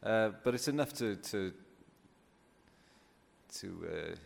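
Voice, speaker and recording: A man's voice: on the low side, animated, takes it slowly. A man with a Welsh accent and Welsh as his first language, giving an informal talk as a poet in a hesitant, thoughtful way. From Wales. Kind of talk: informal unscripted public talk. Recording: echoey hall, clear background noise.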